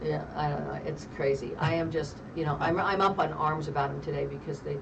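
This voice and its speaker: A woman vents indignantly in casual talk, piling one complaint onto the next in a fast rant. Her voice sits on the low side and is picked up from a distance. A clear hiss of noise runs underneath. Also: steady tone. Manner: indignant, rapid ranting. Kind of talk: casual political chat. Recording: distant mic with noisy background.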